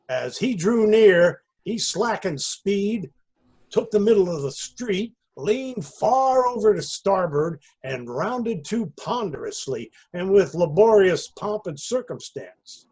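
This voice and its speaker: High-pitched, husky man narrating a story. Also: sing-song, darkish tone. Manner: deliberate, theatrical storytelling. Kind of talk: dramatic literary narration. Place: indoors on a video call.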